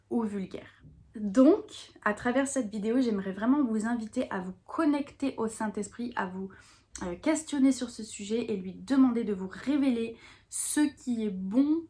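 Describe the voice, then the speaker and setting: A woman's voice: medium pitch, a little mellow. French woman explaining faith matters earnestly. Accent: French from France. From France. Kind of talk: explanatory faith talk to viewers.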